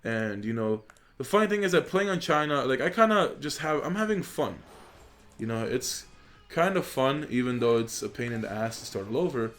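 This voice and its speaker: Young male gamer, Polish native, mid-pitched, relaxed and filler-heavy commentary, desk mic, faint music bed. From Poland. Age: young adult. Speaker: gaming YouTuber. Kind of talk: casual gameplay commentary. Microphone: USB desk microphone.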